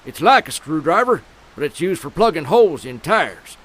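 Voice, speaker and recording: A cranky old man who runs a garage, in an old-time radio comedy. His voice sits high and swings around freely, with a curt, folksy bite. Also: big pitch swings, normal speed, fairly bright tone. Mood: grumbling, aggrieved. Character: grumpy old male filling station owner.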